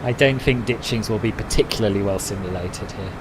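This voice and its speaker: Man, relaxed conversational live-stream talk, answering chat, steady background noise. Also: lowish voice, brisk. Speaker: flight sim streamer.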